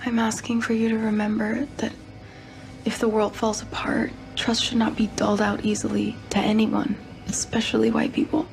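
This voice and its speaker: An actress playing a quietly distrustful, cautioning woman in film drama, speaking in a hushed, measured, breathy way. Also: fairly high pitch, completely flat delivery. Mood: hushed warning.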